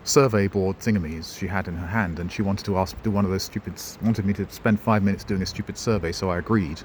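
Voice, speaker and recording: A middle-aged man talks his way through a town on foot, his voice sitting low. He wanders off on tangents and makes dry jokes at his own expense. Faint traffic hums behind him in the open street. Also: fairly even intonation, fast, a little mellow. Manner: wry, digressive, self-mocking asides. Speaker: travel vlogger. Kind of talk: rambling walking-tour commentary. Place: outdoors in a town centre street.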